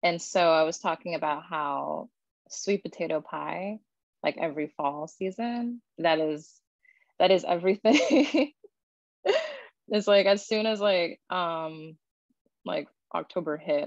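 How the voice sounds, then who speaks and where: A woman from Florida shares a memory on a video call, full of "like"s. Her voice is very low. The audio sounds dry and dull. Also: a little slow, vocal fry, dry recording. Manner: chatty, many "like"s. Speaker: workshop participant. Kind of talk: casual sharing on a video call.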